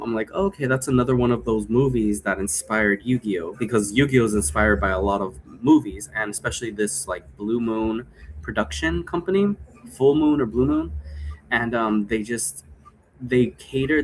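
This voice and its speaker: A man's voice: medium pitch, rough recording. A man giving a rambling, informal explanation, thinking aloud and wandering between points. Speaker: game creator explaining role-play rules. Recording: noticeable background noise.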